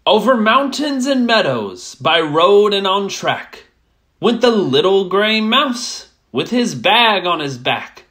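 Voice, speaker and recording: Slow, expressive woman storyteller. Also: medium pitch. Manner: expressive, measured phrasing. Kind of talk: rhyming children's picture book read-aloud.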